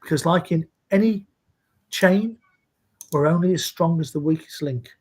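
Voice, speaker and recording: A man in his sixties from Scotland, speaking in a measured, earnest way as a guest in a live video-call interview. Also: fairly high pitch, darkish tone, breathy edge. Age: 60s. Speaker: interview guest, safety leader.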